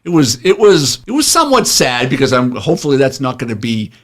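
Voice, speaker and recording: A man's voice: mid-range voice, sing-song, fairly quick. Blunt male storyteller, casual cop talk. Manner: blunt, profane, joking. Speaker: retired police officer telling stories on a show.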